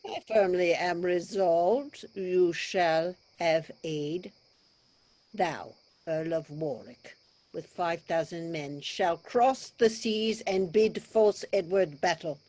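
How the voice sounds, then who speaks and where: A commanding king of France, played by a woman, speaks formal verse with regal weight. The voice is slightly low for a woman and very unhurried. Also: darkish tone. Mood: resolved. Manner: measured, formal, regal delivery. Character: stately, commanding French king, male. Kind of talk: staged reading of Shakespearean verse drama. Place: remote video call.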